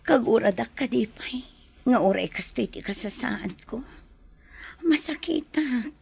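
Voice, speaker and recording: A woman's voice: on the high side, expressive, unhurried, vocal fry, a little mellow, husky, heavily acted. A woman acting an ailing, remorseful mother in an Ilocano audio drama. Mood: weary, regretful. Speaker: actor in a drama.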